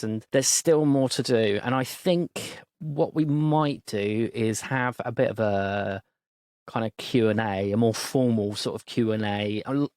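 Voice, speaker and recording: A man's voice: fairly low pitch, darkish tone, no echo, clean studio sound. A man, narrating as game master and chatting casually with players. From Britain. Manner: rambling, many restarts, laughs easily.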